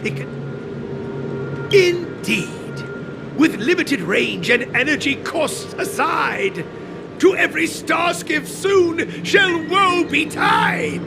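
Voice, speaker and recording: Eccentric master craftsman for a game: very high register, unhurried, excitable, grandly theatrical with archaic verse. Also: creaky, music in the background, heavily acted. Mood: proud, theatrical. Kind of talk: video game character acting.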